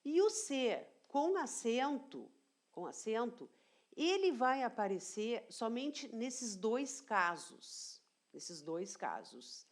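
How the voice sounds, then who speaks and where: Slow, deliberate woman teacher. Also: fairly high pitch, sing-song. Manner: slow, deliberate explaining, repeats key phrases, checks in with "tá?". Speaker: language teacher. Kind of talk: online grammar lesson.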